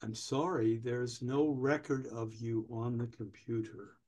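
A man in his sixties playing a pedantic, obstructive older DMV clerk in a staged comedy reading, officious, deadpan and stalling. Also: mid-range voice, unhurried, lightly acted. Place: indoors, on a video call.

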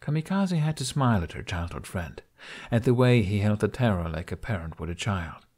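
A man's voice: on the low side, very animated, a little fast, darkish tone. A man reading a scripted story aloud as an audiobook narrator.

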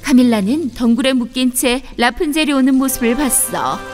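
A woman narrating a scripted children's fairy tale in an expressive storybook manner. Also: high-pitched, moderate pace, faint music behind.

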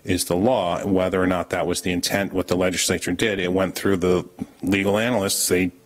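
A man with a very low, deep voice holds his ground at a town board meeting. He explains his point firmly, often restarting sentences as he works it through. The sound comes from a camera set across a meeting room. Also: little pitch movement, brisk. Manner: firm, explanatory, with frequent restarts. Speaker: town official presenting an ordinance. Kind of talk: public meeting discussion.

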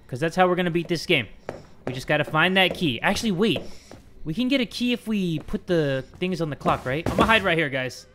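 Animated young man yelling over game. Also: a little high, fairly quick, background noise. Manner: animated, swings from loud yelling to hushed talk. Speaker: gaming video host. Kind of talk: energetic horror game commentary. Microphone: headset.

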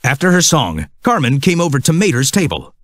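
A man's voice: mid-range voice, wildly swooping pitch, brisk, a bit bright, no room sound. A man reading scripted narration for a children's read-along storybook.

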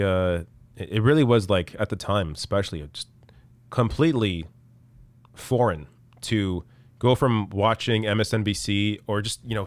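A man in his thirties hosting a live political stream, reading chat and answering in a casual, halting way while losing his voice. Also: on the low side, no room sound. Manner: casual, halting, many restarts. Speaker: political show host. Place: small dark room, indoors. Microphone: studio mic on arm in front.

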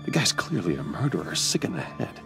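Low, gravelly, guarded rich man. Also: very animated, a little fast, exaggerated voice. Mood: probing. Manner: terse, controlled, firm. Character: stern, guarded wealthy man in his thirties. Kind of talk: video game character acting. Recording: music behind the voice.

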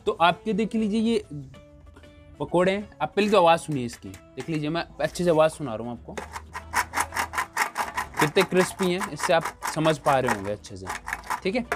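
A man's voice: on the high side, expressive, normal speed, pauses often, music in the background. Chatty man demonstrating a recipe. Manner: chatty, repeats "look here" often. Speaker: cooking show chef. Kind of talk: casual cooking demonstration.

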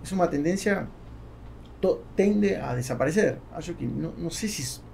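Argentine man with a gravelly voice. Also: a little high, expressive, brisk, warm tone, a little hiss or hum. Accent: Argentine Spanish. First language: Spanish. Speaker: podcast guest, entrepreneur. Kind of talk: conversational interview. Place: small foam-treated podcast studio. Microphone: close podcast microphone on boom arm.

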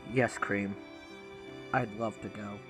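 A man playing a soft-hearted fifteen-year-old cartoon hedgehog hero in a fan audio drama. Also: on the low side, fairly even intonation, slow, music in the background. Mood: pleased.

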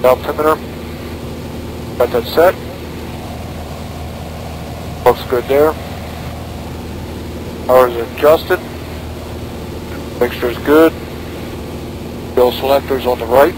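A man's voice: mid-range voice, fairly even intonation, slow, long pauses. Man piloting, clipped phrases over engine noise. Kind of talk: radio calls and self-talk while flying. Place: small aircraft cockpit in flight. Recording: loud aircraft engine noise.

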